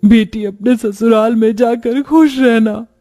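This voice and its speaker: A man's voice: very high-pitched, fairly even intonation, unhurried, mellow. A man voicing a caring middle-aged mother who reassures her daughter in a cartoon story. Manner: reassuring, affectionate.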